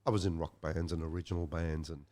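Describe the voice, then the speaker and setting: A middle-aged Irish man with a Dublin accent talks in a very low voice. He rambles through his stories in casual interview chat, often restarting a phrase midway. His tone is low and unhurried. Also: flat delivery, warm tone. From Ireland. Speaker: guest musician.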